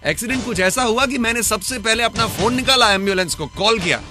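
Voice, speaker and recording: A man's voice: high-pitched, fairly quick, music in the background, lightly acted. A man playing a cheeky, wisecracking detective sidekick in a radio crime drama, with teasing rustic banter. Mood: mocking, probing.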